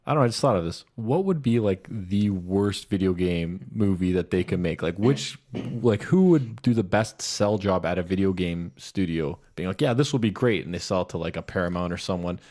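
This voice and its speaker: Low-voiced man, musing in casual chat. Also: expressive, normal speed, hardly any pauses, a little mellow. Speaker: show co-host.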